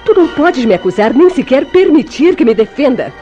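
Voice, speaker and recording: A woman's voice: high-pitched, big pitch swings, a little fast, mellow, lo-fi sound. A woman with a Brazilian accent playing a defensive wife in a radio soap opera, protesting and pleading theatrically. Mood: earnest, pleading. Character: defensive wife pleading against jealous accusations. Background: faint music behind the voice.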